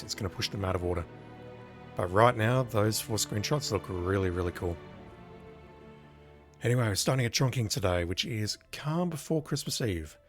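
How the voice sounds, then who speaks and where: Brief: sick-sounding streamer, croaky and lowish, rambling chat, faint music. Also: male voice. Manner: rambling, chatty, apologetic. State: croaky from illness. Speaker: game streamer. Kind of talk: casual gameplay commentary.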